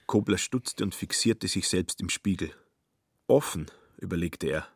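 A man narrating a literary radio play with a husky voice and a wry, dry storytelling manner. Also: deep voice, lively intonation, measured pace, dry recording, full character voice.

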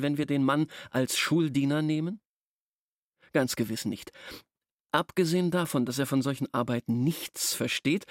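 A male actor as a stiff village schoolteacher, resentful and officious. His medium-pitched voice is recorded very dry and close, and he phrases everything formally, with open disapproval, in a radio play manner. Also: dry recording, natural voice. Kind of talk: German radio drama acting.

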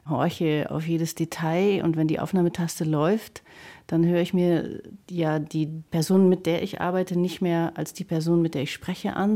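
A woman's voice: lowish voice, dark tone. A woman composer explaining her working methods in detail, in a reflective interview style. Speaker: composer and radio drama maker.

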